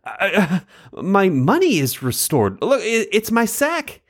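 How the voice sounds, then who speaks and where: A man's voice: sits high, wildly swooping pitch, a little slow, voice pushed up, heavily acted. A man voicing a group of frightened, guilt-ridden grown brothers, pleading in a raised, flustered voice. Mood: anxious pleading.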